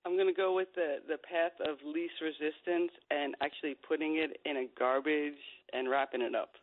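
A woman's voice, low for a woman, comes through a tinny, band-limited phone line. She gives conversational radio commentary as co-host, earnest and insistent. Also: fairly even intonation, normal speed.

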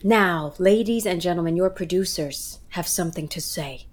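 A woman's voice: lowish voice, normal speed, lightly acted. A woman playing a gushing, theatrical stage director in a comedic audio drama, speaking with ceremony. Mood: effusive. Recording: background noise, clicks.

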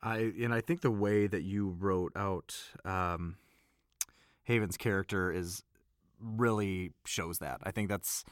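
A man chatting casually as an interview guest and voice actor, informal and halting as he thinks aloud. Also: deep voice, steady tone, clean tone, dry recording, studio quality.

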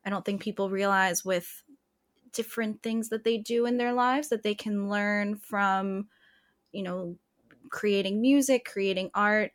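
Friendly woman interviewer. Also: mid-range voice. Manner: friendly, affirming, leads into questions. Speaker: interviewer, host. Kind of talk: interview questions.

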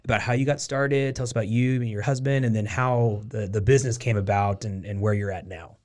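A man's voice: fairly low pitch, steady tone, a little fast, darkish tone. Chatty male podcast host. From United States, Oregon. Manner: chatty, many affirmations and restarts. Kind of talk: casual interview.

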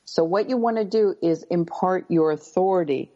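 A middle-aged woman with a low voice, a parenting expert giving calm, deliberate advice in an interview. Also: highs cut off. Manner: calm, deliberate, slows words for emphasis.

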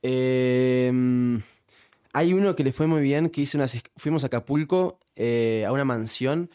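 A man's voice: mid-range voice, measured pace, warm tone, clear, resonant tone. A young man from Uruguay with a Uruguayan accent, a guest in a casual podcast interview who talks with many restarts and fillers. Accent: Uruguayan Spanish. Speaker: youtuber guest.

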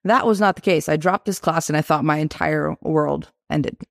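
A young woman from Albania, raised in Boston, chatting energetically as an interview guest, quick to laugh. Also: deep voice, vocal fry, no room sound, clean studio sound. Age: 20s. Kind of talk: casual interview talk.

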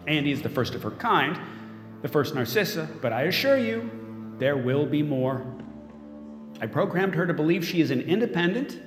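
An actor playing a smug, controlling tech mogul in an audio drama, giving a polished, self-satisfied speech as he unveils his android. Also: on the high side. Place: large event room as staged, crowd behind. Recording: echoey hall with crowd behind.

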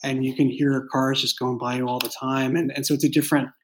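A man speaks in a mid-pitched voice, as a writer chatting about his craft. He explains in a casual, halting way and often circles back to reword what he has begun. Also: flat delivery, slight background noise. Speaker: fiction podcast writer and creator. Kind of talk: casual behind-the-scenes discussion.

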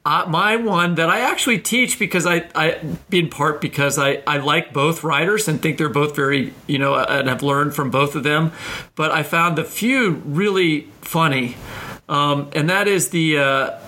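A man co-hosting a podcast, explaining things in an informal, animated way and often interrupting himself. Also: fairly high pitch, moderate pace. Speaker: podcast host, writing teacher. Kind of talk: casual discussion with anecdotal explanation.

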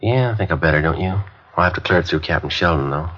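An actor playing a terse, matter-of-fact police detective sergeant in a 1950s radio drama, with clipped delivery. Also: male voice, low voice, expressive, fast, fairly bright tone. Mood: easygoing. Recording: muffled 1950s radio broadcast.

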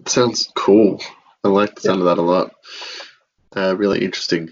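A man, a podcast guest who talks in a relaxed, measured and appreciative way. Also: low-pitched, a little hiss or hum. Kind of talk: casual podcast chat. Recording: dull lo-fi sound.

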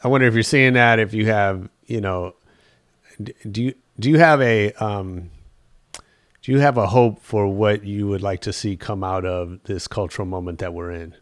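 Low-voiced host with a dry, close sound. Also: male voice, moderate pace, no room sound. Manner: friendly, encouraging, meandering questions. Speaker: interview host. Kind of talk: casual interview talk.

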